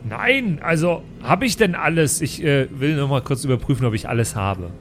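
Man playing a high-pitched, blustering adventurer. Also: animated, moderate pace, fairly bright tone, faint music behind. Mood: flustered. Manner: blustering, stammering indignantly. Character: belligerent, paranoid male adventurer, blustering and stubborn.

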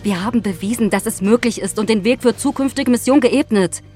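Woman acting a high-voiced, resolute astronaut. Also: brisk, dark tone, soft background music, lightly acted. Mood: proud, insistent. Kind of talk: audio drama acting.

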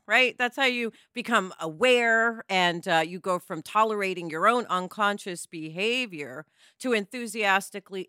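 Animated, bright-voiced, blunt woman. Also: medium pitch, no echo, studio quality. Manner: opinionated, blunt. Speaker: podcast panelist. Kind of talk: animated casual discussion.

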